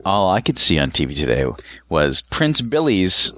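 A man with a low-pitched voice co-hosts a relaxed podcast from home. His intonation is animated and moves widely up and down, and his humour stays wry and teasing. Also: moderate pace, dry recording, clean studio sound. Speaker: podcast co-host. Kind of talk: casual chat. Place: at home, speaking over a call.

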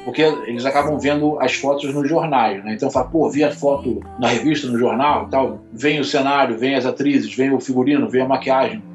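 A man from Rio de Janeiro with a Carioca accent, a lighting photographer chatting as an interview guest, telling animated stories full of fillers and repeated words. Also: mid-range voice, brisk, hardly any pauses, reverberant, dull audio, faint music behind. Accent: Carioca Portuguese. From Rio de Janeiro, Brazil. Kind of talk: casual interview chat.

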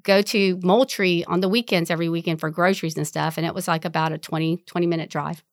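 A woman's voice: fairly low pitch, fairly even intonation, quick pace, no room sound, clean studio sound. A woman in her forties from Vietnam, candidly telling her life story in an interview and laughing easily. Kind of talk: oral history interview.

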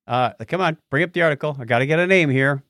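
A man's voice: on the high side, fast talker, a bit bright, no room sound, studio quality. A man co-hosting a podcast, explaining news items in a casual, jokey, conversational way. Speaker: podcast co-host.

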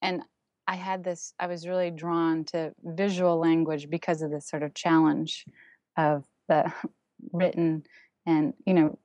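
Woman artist guest, German first language, lower voice, reflective and halting, dry close recording. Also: flat delivery, darkish tone, no echo. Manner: thoughtful, many ums and restarts. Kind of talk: casual interview, recounting her path.

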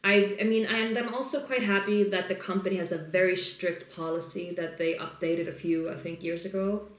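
A woman's voice: on the low side, steady tone, normal speed. A woman from Iceland who works as a flight attendant, talking casually and reflectively as an interview guest, with many fillers. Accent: non-typical Icelandic English accent. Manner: chatty, filler-heavy, reflective. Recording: echoey room.

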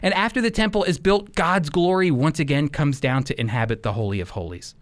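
A man's voice: fairly high pitch, brisk. A man giving sermon-like Bible teaching on a radio program, speaking emphatically and addressing listeners directly.

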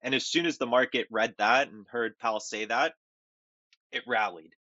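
A man's voice: medium pitch, moderate pace, sharp tone, no room sound, muffled recording. A man with a nasal, under-the-weather voice giving casual podcast commentary on the markets, hesitating with many ums and restarts. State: nasal from a cold. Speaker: podcast co-host.

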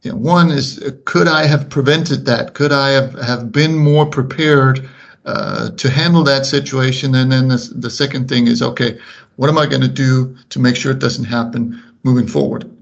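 A middle-aged man whose first language is Danish speaks English with a slight Kansas drawl, talking slowly and deliberately with many restarts in a casual interview. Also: medium pitch, fairly even intonation, creaky. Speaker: guest, officiating coordinator. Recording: slightly muffled podcast audio.

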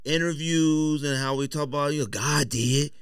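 A man's voice: on the high side, steady tone, normal speed, clear, resonant tone, dry recording, studio quality. A man talking in slangy, opinionated casual banter as a podcast host.

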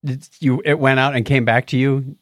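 A man's voice: mid-range voice, little pitch movement, moderate pace, dry recording, studio quality. A man hosting a podcast in casual chat, with a techy, wry and jokey way of talking. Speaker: podcast host.

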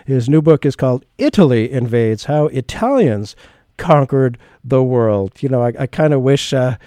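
A man's voice: mid-range voice, animated, normal speed, warm tone, no echo, clean studio sound. A man hosting a radio talk show, chatting casually with a guest in a loose, rambling way with many fillers and restarts. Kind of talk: casual interview chat.

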